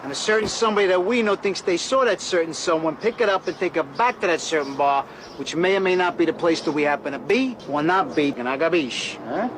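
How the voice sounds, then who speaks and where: Comedy sketch small-time heavy male actor, high-pitched, pushy and evasive, noisy urban recording. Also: lively intonation, a little fast, a bit bright. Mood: pushy, menacing.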